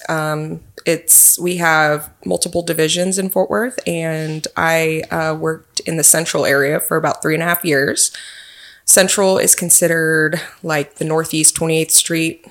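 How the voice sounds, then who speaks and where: A woman's voice: deep voice, flat delivery, a little slow, fairly bright tone. A young woman from Texas with a Texan accent, a police officer answering interview questions in an earnest, friendly way.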